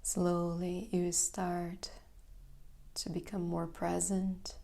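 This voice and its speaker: A woman leading a guided meditation as teacher, calm, gentle and unhurried, with a soft, sometimes breathy voice. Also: fairly low pitch. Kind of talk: guided meditation, slow and soothing.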